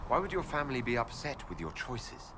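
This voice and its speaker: A man voicing a reserved, inquisitive leader in a video game, asking questions in a measured, courteous way. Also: mid-range voice, big pitch swings, very noisy, natural voice. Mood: curious. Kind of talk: video game character dialogue.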